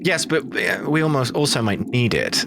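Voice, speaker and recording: A man's voice: medium pitch, very animated, normal speed, noisy background. A man taking part in a tabletop roleplaying game as a player, chatting casually in and out of character. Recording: clear background music under the voice. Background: background music.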